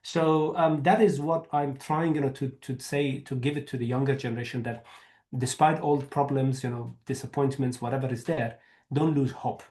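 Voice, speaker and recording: A man from Afghanistan speaks as a historian on a video call, in a mid-range voice. His talk is loose and conversational, full of "you know" and quick asides. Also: medium pitch, steady tone, darkish tone. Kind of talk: academic talk and Q&A.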